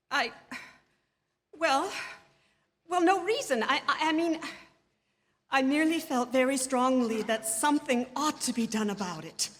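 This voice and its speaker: Radio play role: agitated, demanding married woman, high-pitched and exclamatory, long hall reverb. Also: a bit bright. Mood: urgent, flustered. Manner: agitated, insistent, haughty, exclaiming. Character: anxious, imperious, demanding married woman on the telephone. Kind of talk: stage radio drama acting. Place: theatre stage before an audience. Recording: live theatre stage with long reverb.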